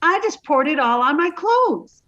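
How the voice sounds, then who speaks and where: Woman as a naive scatterbrain: high, bouncy, dull lo-fi sound. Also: big pitch swings, a little slow, fairly bright tone, exaggerated voice. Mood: breezy. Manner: breezy, sweetly dim. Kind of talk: radio comedy acting. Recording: dull lo-fi recording.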